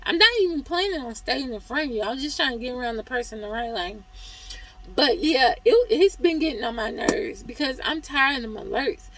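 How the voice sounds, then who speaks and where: A woman vlogging as a truck driver, hoarse from talking, venting in a chatty, exasperated way about her job. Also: high-pitched, animated, a little fast, fairly bright tone, low-quality recording. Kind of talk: casual vlog review.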